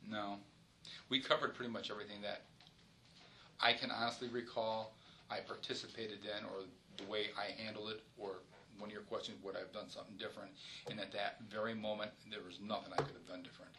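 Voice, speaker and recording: Middle-aged cop answering interview questions, lowish bright forceful voice, pretty insistent. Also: male voice, level tone, a little fast, a little hiss or hum, rough recording. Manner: firm, emphatic and insistent. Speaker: police officer being interviewed. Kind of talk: formal investigative interview.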